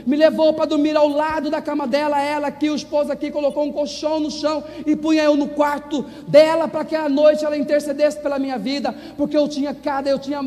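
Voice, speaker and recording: Casting: Brazilian male evangelical preacher, strikingly high pitch, impassioned declamatory testimony, heavily reverberant hall sound. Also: darkish tone. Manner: fervent, emphatic, repetitive declamation. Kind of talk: evangelical sermon and personal testimony.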